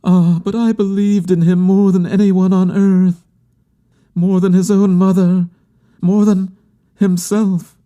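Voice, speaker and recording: A man voicing a grieving, devoted woman in a literary audiobook, pleading and intense in a hushed, drawn-out delivery. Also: high-pitched, little pitch movement, dull audio, pitched above the performer's own voice. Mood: fervent, anguished. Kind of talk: dramatic literary audiobook character acting.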